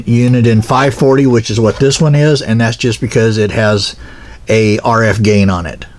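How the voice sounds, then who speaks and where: Male instructor, mid-range voice, practical and plain-spoken, talking through gear in a halting, informal way. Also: medium pitch. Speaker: instructor, pilot car operator. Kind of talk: informal equipment explainer.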